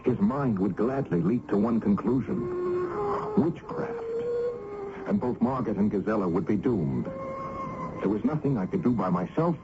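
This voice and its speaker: A man's voice: fairly low pitch, huge pitch swings, normal speed. An actor playing an earnest, anxious, lovelorn man in an old-time radio drama, with a hesitant, dramatic delivery. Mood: somber storytelling. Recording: dull, noisy vintage radio recording.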